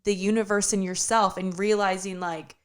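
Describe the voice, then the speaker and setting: A woman's voice: medium pitch, level tone, normal speed, clear, resonant tone. A woman co-hosting a podcast, chatting in an earnest, reflective way with frequent fillers. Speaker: podcast co-host. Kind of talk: casual chat.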